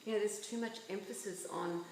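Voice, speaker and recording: A woman's voice: mid-range voice, steady tone, a little fast, darkish tone, a little hiss or hum. Earnest woman guest in an echoey room. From Yugoslavia. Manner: earnest, opinionated, asks rhetorical questions. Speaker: author and guest. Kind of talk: casual interview chat.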